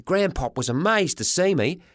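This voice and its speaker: A man narrating a children's story in the first person as an expressive audiobook reader. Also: sits high, moderate pace, dry recording, clean studio sound.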